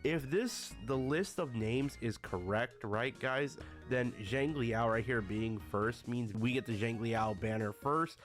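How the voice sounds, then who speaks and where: A man's voice: mid-range voice, takes it slowly, soft background music. A man who is a little under the weather, talking casually to viewers about a game update. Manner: addresses viewers often as guys. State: a little sick. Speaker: gaming YouTuber. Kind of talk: casual video commentary.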